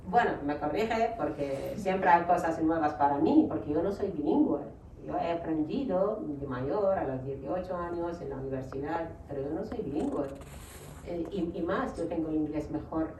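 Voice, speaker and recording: A woman's voice: fairly low pitch, dark tone. Iraqi-accented woman in an echoey hall. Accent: Iraqi Arabic. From Iraq. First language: Arabic. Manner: hesitant, many fillers. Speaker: writer and panel guest. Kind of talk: panel talk. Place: lecture hall. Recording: roomy far-off mic, faint noise.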